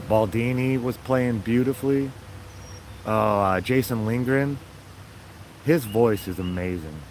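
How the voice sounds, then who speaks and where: Man, raspy voice, rambling upbeat vlog chat, outdoors, faint traffic behind. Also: medium pitch, unhurried, darkish tone. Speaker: vlogger. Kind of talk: casual chat to viewers.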